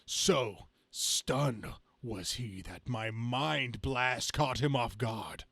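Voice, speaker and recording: Casting: a man performing a mind-controlling comic supervillain, male, grandiose and theatrical, creaky, deliberate delivery, dry close sound. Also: medium pitch, fairly bright tone, dry recording, full character voice. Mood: gloating, menacing. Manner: grandiose, slow, theatrical declaiming. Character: gloating, imperious comic-book supervillain who commands minds.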